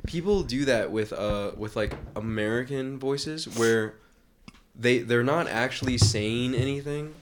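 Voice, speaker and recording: A man's voice: medium pitch, measured pace, a little hiss or hum. Young male podcast co-host, mid voice, rambling casual banter. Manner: loose and rambling, lots of "like".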